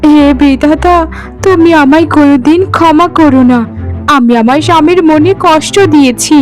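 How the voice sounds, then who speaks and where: A woman voicing a scolding, bitter elder co-wife in an animated folk tale, with a melodramatic delivery. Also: high-pitched, normal speed, faint music behind, natural voice. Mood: wailing, distraught.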